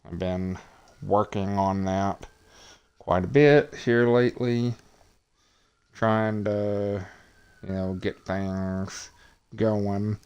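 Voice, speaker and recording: A man streaming a wrestling video game, talking in a monotonous, hesitant way with many pauses and fillers. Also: fairly low pitch, clear, resonant tone. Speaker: gaming streamer. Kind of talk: casual game commentary.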